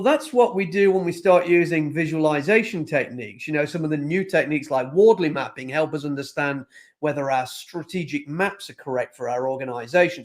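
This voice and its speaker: A man speaking as a panelist and executive consultant, emphatic and animated, often repeating phrases. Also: on the high side. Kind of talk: panel discussion.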